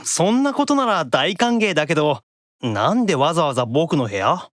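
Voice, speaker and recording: A man voicing a reclusive, nerdy male demon in an anime-style audio drama, excitable and wary. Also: on the high side, moderate pace, no echo, studio quality. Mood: puzzled, wary.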